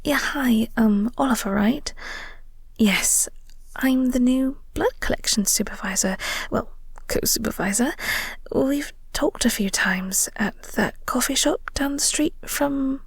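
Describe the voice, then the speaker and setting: Woman doing a flirty vampire coworker, soft breathy high-ish voice with lots of fry, hesitant and polite, audio rp style. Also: takes it slowly, no room sound, full character voice. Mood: charming, flirty. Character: flirty, awkward British vampire woman, office co-worker. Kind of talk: intimate audio roleplay acting.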